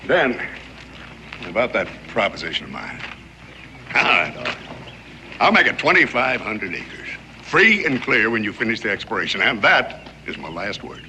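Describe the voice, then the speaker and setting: An actor playing a pushy, needling land dealer in a TV western, insistent and challenging. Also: male voice, lowish voice, sing-song, normal speed, noisy background. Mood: pressing. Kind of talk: TV western acting.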